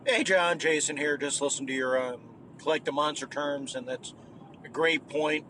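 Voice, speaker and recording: A man leaving a voicemail for a gaming podcast, talking haltingly with many restarts and tag questions. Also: a little high, fairly even intonation, noisy background. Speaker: caller. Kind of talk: voicemail message.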